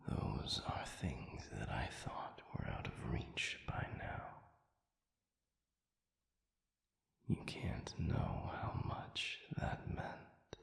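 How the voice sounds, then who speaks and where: A man voicing a formal, intimate demon in an audio roleplay, speaking in a measured, breathy, gravelly whisper. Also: extremely low pitch, big pitch swings, roomy sound, heavily acted. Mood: tender farewell. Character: formal, sadistic male demon with lost memory, tender and curious.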